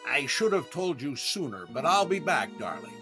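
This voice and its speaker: An actor playing a vain, hapless comedian in 1930s-style radio comedy, with a deliberate, indignant delivery and dramatic pauses. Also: male voice, a little high, big pitch swings, music in the background. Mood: mock romantic. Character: vain, hapless comedian desperate to prove his sex appeal.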